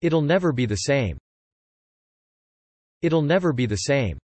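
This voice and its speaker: A man's voice: mid-range voice, big pitch swings, a little slow, a little mellow. A man narrating an English lesson, reading example sentences and definitions aloud and repeating each one evenly.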